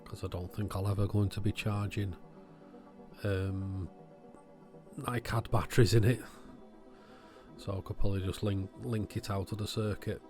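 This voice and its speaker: Low-voiced man talking through a repair. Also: flat delivery, normal speed, music in the background. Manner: thinking aloud, matter-of-fact. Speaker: electronics repair video host. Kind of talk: informal bench repair commentary.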